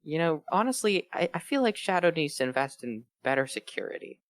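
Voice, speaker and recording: A man's voice: on the high side, big pitch swings, a little fast, no echo. A man chatting casually and riffing with dry, absurd jokes. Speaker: co-host of a comedy reading show. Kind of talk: casual joking banter.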